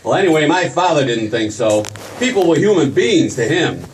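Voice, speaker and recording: Guy playing excitable stammering young small town dreamer, radio play, voice jumps around, distant noisy recording. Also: fairly high pitch, normal speed. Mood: indignant. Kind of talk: old-time radio drama stage acting. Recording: noisy stage recording from far off.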